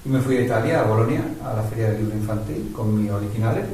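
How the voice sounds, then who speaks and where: A man's voice: lowish voice, quick pace, mellow, slight background noise. A man interviewing a guest, chatting casually and affirming with enthusiasm. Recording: distant mic with heavy echo.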